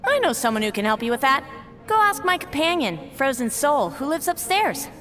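A woman voicing a helpful, lively mystical female being in a video game. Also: high-pitched, moderate pace, soft background music. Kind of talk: scripted video game dialogue. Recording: long reverb.

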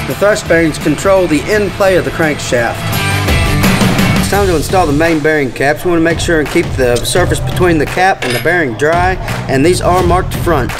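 A middle-aged man with an American accent, a folksy, plain-spoken diesel mechanic explaining a job step by step. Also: a little high. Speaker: diesel mechanic presenting a tutorial. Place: large indoor heavy equipment workshop.

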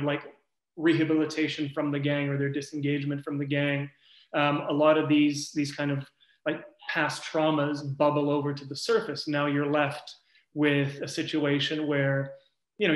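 A man with a slightly high, flat voice talks through his research on a panel. He is thoughtful and loose, often searching for the right words. The sound is roomy and echoey. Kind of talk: academic panel discussion. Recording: echoey room.

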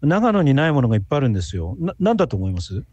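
A man's voice: mid-range voice, sing-song, rapid-fire, darkish tone, dry recording, dull audio. A man who is a guest researcher, giving explanatory answers in a radio interview.

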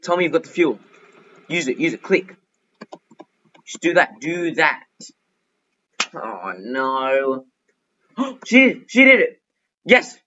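A man giving excitable, chatty gameplay commentary as a gaming video host, talking to himself and often repeating phrases. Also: fairly high pitch, slow pace, pauses often. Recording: dull, lo-fi microphone.

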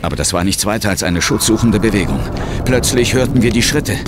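A man's voice: fairly low pitch, animated, fairly quick, lightly acted. An actor playing an intense ghost hunter who narrates his own peril in a horror audio drama. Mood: tense. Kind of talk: scripted first-person horror narration. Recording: dramatic music underneath.

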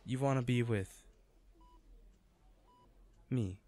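A man playing a devoted, teasing and self-blaming boyfriend in a romantic audio roleplay, speaking haltingly and tenderly. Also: medium pitch, a little mellow. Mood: frustrated, upset. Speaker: voice actor.

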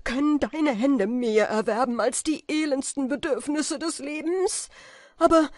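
A woman voicing a half-mad, starving man who raves and shouts wildly, for a storybook reading. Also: very high voice, a little slow, no echo, clean studio sound, voice pushed up. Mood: frantic. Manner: raving, shouting, frantic.

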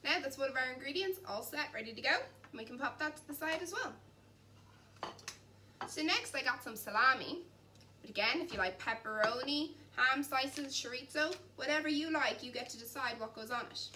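A woman's voice: high voice, moderate pace, bright, forceful tone. Girl in her 20s doing a cooking demo, chatty, mic kinda far off in a big kitchen. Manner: chatty and encouraging, talks while chopping and mixing. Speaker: cooking instructor. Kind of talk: cooking demonstration. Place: large indoor kitchen. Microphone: camera at a distance. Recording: distant mic, faint background noise.

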